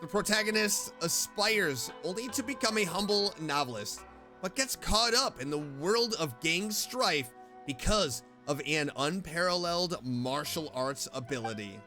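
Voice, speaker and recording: A man streaming a game, reading story text aloud in an expressive way and reacting to it. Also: on the high side, a little slow, faint music behind. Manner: expressive, animated.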